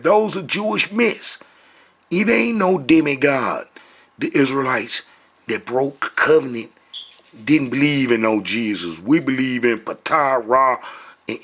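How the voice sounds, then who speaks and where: Guy preaching off the cuff, sharp forceful voice, keeps repeating stuff for emphasis. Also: medium pitch, breathy edge. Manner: emphatic, repeats phrases for stress. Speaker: online religious teacher. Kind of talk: impromptu commentary and preaching.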